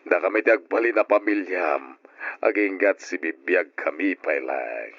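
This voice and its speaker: A man's voice: low-pitched, expressive, measured pace, no echo, heavily acted. A man acting a kindly older uncle, a soothing family patriarch, in an Ilocano radio drama with an Ilocano accent. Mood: reassuring, tender. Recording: dull lo-fi radio drama recording.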